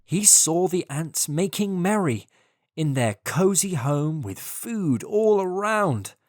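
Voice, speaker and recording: A storyteller narrating a scripted children's tale in an expressive, deliberate way. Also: medium pitch, dry recording, studio quality.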